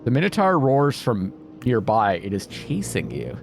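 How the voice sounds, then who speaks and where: A man giving let's-play commentary, reading game text aloud dramatically and thinking out loud as he plays. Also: lowish voice, huge pitch swings, measured pace, noisy background. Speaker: video game streamer playing a narrative game. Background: music behind the voice.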